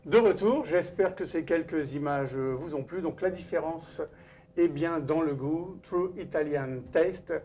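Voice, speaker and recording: A man's voice: on the high side, measured pace, warm tone, a little hiss or hum. A man hosting a live-streamed cooking event, welcoming viewers and introducing the guest chef in a formal emcee manner. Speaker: event host and wine author. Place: cooking school studio kitchen.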